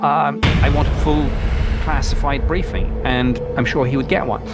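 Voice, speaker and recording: A man interviewed for a documentary, speaking haltingly with fillers. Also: mid-range voice, loud music behind the voice.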